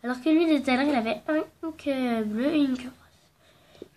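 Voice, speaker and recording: A woman's voice: high voice, moderate pace, darkish tone, slight background noise. A young woman with a stuffy nose from a cold, chatting playfully and rambling through a craft tutorial filmed at home on her phone. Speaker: craft vlogger. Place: at home indoors. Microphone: phone camera.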